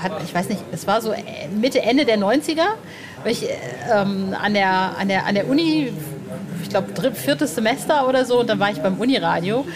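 A woman chatting casually and informally as a podcast host, in a colloquial conversational style. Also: on the high side. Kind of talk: casual conversational interview chat.

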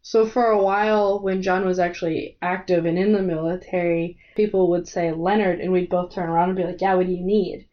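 A woman from the US Midwest, a guest on a book podcast, telling relaxed stories and laughing often. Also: on the low side, fairly quick, hardly any pauses, highs cut off. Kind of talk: casual book discussion.

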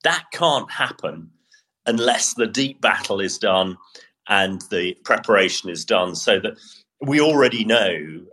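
A middle-aged British man explaining military matters as an analyst on a podcast, in a measured, opinionated way. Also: sits low, sharp tone.

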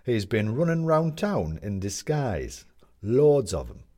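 Man voicing a chatty cheerful bloke, lively up and down, husky, excitable. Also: medium pitch, takes it slowly. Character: cheery talkative man in a café queue.

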